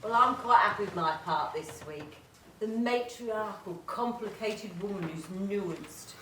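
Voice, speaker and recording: A woman's voice: medium pitch, unhurried, sharp tone, distant mic, a little hiss or hum. A woman playing a wry, down-to-earth, teasing actress in a comic radio play. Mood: self-satisfied. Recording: echoey room, off the mic.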